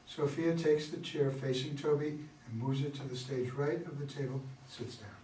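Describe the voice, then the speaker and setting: A man's voice: medium pitch, fairly even intonation, moderate pace, dark tone. Man reading stage directions, echoey room. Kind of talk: scripted narration of a play. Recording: echoey room, distant mic, faint noise.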